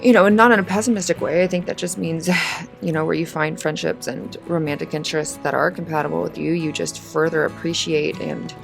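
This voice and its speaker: A woman playing a fragile, heartbroken woman after a breakup in an audio roleplay, halting and stammering as she doubts herself. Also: sits low, steady tone, gravelly, music in the background. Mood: anxious, rambling. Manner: halting, stammering, rambling, sighing. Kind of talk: emotional audio roleplay acting.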